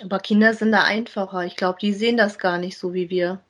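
A woman's voice: medium pitch, steady tone, a little fast. A woman co-hosting a podcast in casual chat, colloquial, asking questions and agreeing with her partner. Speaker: podcast co-host reviewing audio dramas.

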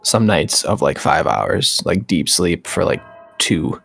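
A man's voice: deep voice, big pitch swings, slow, gravelly, a bit bright, breathy edge. A man co-hosting a podcast in casual chat, rambling with lots of fillers. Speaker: podcast co-host.

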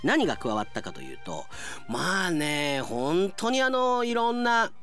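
A man hosting a radio show as announcer, talking conversationally and reading out listener mail in an animated way. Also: sits high, a little slow, soft background music.

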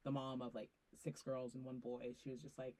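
A woman's voice: extremely low pitch, moderate pace. A young woman and college student chatting casually on a podcast, telling stories with a lot of "like". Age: young adult. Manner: chatty, animated storytelling with lots of "like". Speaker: student guest, business major. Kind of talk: casual chat. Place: college podcast recording, indoors.

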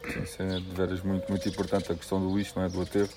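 A European Portuguese man with a fairly low voice asks questions haltingly and agrees often. Faint background noise is present. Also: completely flat delivery, vocal fry. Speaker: co-host interviewer. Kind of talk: interview questions.

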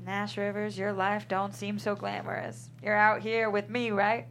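Woman acting guarded desert cowgirl, blunt, folksy, mid-pitched, table read, faint hum. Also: little pitch movement, unhurried, fairly bright tone, natural voice. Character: tough, guarded small-town desert cowgirl.

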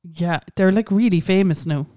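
A woman's voice: on the low side, moderate pace, dark tone, no echo, clean studio sound. An Irish woman in her late twenties, co-hosting a casual podcast chat, chatty, with lots of "like" and swearing. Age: late 20s. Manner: chatty, swearing, says "like" a lot. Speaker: podcast co-host.

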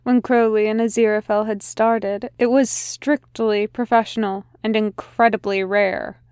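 A woman reading a fan fiction story aloud in a soft, unhurried narration. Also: medium pitch, fairly even intonation, smooth, dry recording, clean studio sound. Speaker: podfic reader.

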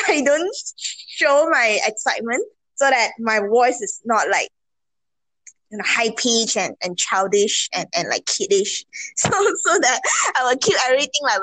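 A young woman co-hosting a casual podcast, talking in a calm, low, hesitant way with many fillers. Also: no echo, low-quality recording. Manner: self-described introvert, keeps voice low and calm. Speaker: podcast co-host. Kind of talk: casual chat.

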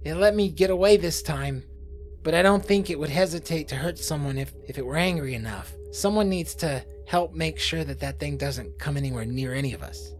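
A man voicing a panicked teenage boy who stammers and rushes his words. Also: a little high, faint music behind, natural voice. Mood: urgent. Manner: frantic, stammering.

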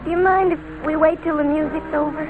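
A woman's voice: sits high, steady tone, fairly quick, over loud music, lightly acted. An actress playing a meek, dreamy teenage girl in trouble in an old-time radio drama, polite and pleading. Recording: tinny old radio broadcast.

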